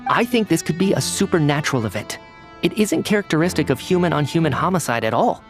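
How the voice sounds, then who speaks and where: A man's voice: fairly high pitch, sing-song, fast talker. A man playing a curious, analytical young scientist in a video game, speaking articulately. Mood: pondering. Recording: background music.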